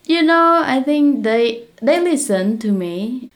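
A young woman from Cambodia, answering interview questions in a halting way and searching for words. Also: sits high, expressive. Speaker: guest, business founder. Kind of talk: casual interview talk.

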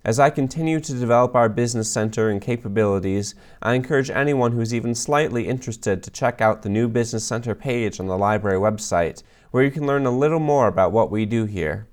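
A man hosting a podcast, reading scripted narration in an even, measured way. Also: lowish voice. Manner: measured, even read-aloud delivery. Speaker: podcast host, librarian.

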